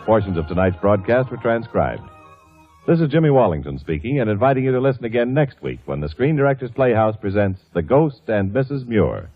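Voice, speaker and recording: A male radio announcer with a low voice reads formally and with measured phrasing. The old broadcast sounds tinny, with music playing behind him. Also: big pitch swings. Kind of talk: 1950s scripted radio announcing.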